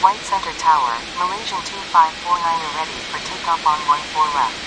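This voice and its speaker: Guy doing clipped airport radio calls for a sim game, procedural, slightly high voice, hissy plane noise. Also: a little fast, lightly acted. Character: male pilot or controller, crisp and procedural. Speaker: pilot and air traffic radio voice in a simulation game. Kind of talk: clipped aviation radio phraseology.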